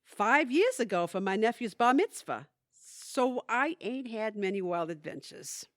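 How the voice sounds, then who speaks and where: A high, very lively voice of an actress playing a wry, candid woman in a radio play. She is folksy and plain-spoken, a little hesitant, and recorded dry and close. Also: measured pace, dry recording. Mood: nervous confessing. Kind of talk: audio theatre acting.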